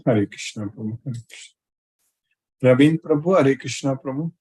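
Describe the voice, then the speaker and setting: A man's voice: medium pitch, moderate pace, a little mellow, breathy edge. A man speaking as a spiritual teacher in a live online class, greeting students one by one and often repeating his greetings and short phrases. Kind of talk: live question and answer with students.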